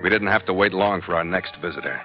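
Male actor as tough skipper, terse, gruff, commanding, old radio adventure, muffled recording, prominent music. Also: sits low, quick pace, natural voice. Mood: wary. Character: big, tough, hard-boiled male ship's skipper, narrating his adventures. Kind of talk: 1940s-50s radio adventure acting, clipped first-person narration.

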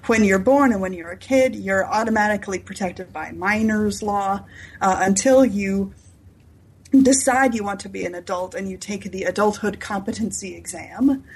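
A woman author being interviewed, explaining their fictional world in enthusiastic, detailed lists with a husky voice. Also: mid-range voice, normal speed, poor audio. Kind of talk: unscripted explanatory interview talk.

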